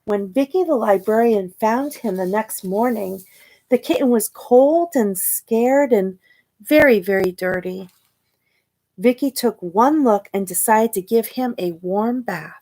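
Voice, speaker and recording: A woman reads a children's picture book at a very slow pace. Her voice sits fairly high, and she is expressive, often pausing to question or show things to young listeners like a storytime teacher. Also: rough recording.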